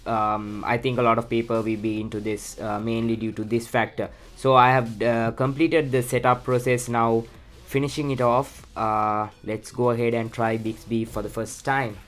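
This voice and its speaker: A man's voice: mid-range voice, normal speed, faint music behind. Sri Lankan man, laid-back tech walkthrough. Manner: relaxed, many "uh" fillers and "let's go ahead". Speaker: tech channel host. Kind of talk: casual how-to walkthrough.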